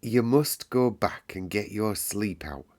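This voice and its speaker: A man's voice: lowish voice, expressive, slow, darkish tone. A man voicing a sleepy young woman nurse in a storybook, hesitant and reluctant. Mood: weary.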